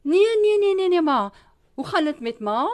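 Radio drama mom played by a woman: harried, fussing, Cape Town accent, high and highly animated voice. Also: moderate pace. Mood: placating. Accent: South African, Cape Town. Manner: exasperated, fussing. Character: harried, strict, fretful middle-aged mother. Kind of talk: amateur radio drama acting.

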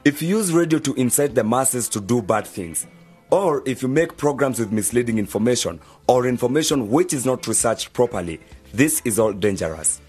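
A man, with a husky, mid-pitched voice. He is an earnest journalist mentor in an audio drama, lecturing in a measured, preachy way. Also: lively intonation, a little mellow, soft background music. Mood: didactic. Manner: didactic, measured. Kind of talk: radio drama acting.

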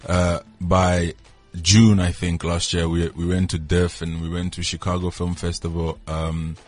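A man's voice: low-pitched, monotone, unhurried, soft background music. A young adult man from South Africa, an actor guest on a radio show, speaking thoughtfully and often repeating words as he looks for the next one. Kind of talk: radio interview. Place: radio studio.

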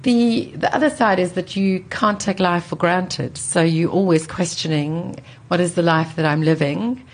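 A woman in her sixties telling her life story as an interview guest, articulate and thoughtful, with considered pauses. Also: lowish voice. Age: 60s. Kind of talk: reflective personal storytelling in an interview.

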